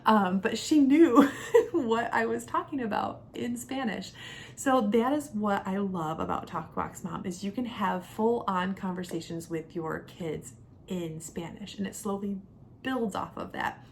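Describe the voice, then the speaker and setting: Gravelly young woman, chatty. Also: mid-range voice, unhurried, a little hiss or hum. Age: young adult. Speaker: homeschooling mother and vlogger. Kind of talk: casual product review talk to camera.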